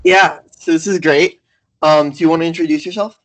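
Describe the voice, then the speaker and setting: A man's voice: a little high, steady tone, a little fast, a bit bright. A man hosting a casual podcast interview, friendly and full of fillers. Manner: friendly, filler-heavy, enthusiastic.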